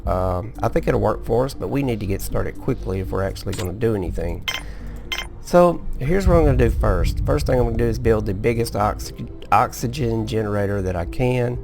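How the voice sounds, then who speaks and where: A man hosting a casual gameplay video, sleepy and relaxed, explaining each step as he goes with small restarts. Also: on the low side, a little fast, vocal fry, over music. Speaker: gaming video host. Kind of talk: casual let's-play commentary.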